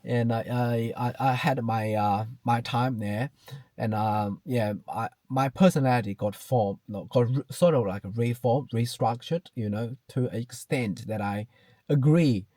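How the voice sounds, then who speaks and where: A man in his late thirties from China speaks English with a Chinese accent. He talks to the camera in a searching, reflective way, full of ums, restarts and "you know". His medium-pitched voice is recorded close and dry, with no room sound. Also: unhurried, warm tone, dry recording. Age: 30s. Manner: hesitant, many fillers and restarts, repeats "you know". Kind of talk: reflective personal talk to camera.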